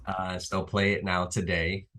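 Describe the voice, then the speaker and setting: A man's voice: deep voice, flat delivery, moderate pace, a bit bright. A man whose first language is Italian, a filmmaker and actor, who answers interview questions in a casual, hesitant way with frequent laughs. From Italy. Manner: laughs often, hesitant with fillers.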